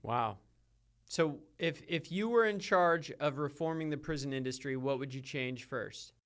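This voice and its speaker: A man's voice: on the high side, a little slow. A man hosting a podcast, asking questions in a casual interview style.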